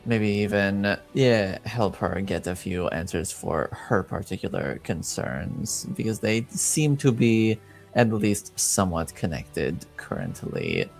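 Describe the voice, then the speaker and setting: A man's voice: fairly low pitch, very animated, slow pace, vocal fry, soft background music, rough recording. A man acting as game master, improvising narration and chatting casually, feeling out his phrases hesitantly.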